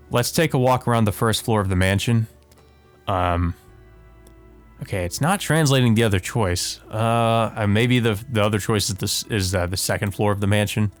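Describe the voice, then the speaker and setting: A man hosting a let's play, reading visual novel text aloud with casual commentary. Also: fairly low pitch.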